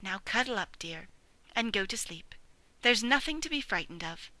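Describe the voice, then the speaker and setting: A woman voicing a motherly female sheepdog in a storybook, speaking softly and quietly in a low, soothing way. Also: fairly quick, dry recording, poor audio. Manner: soft, hushed and low, motherly. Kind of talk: storybook reading.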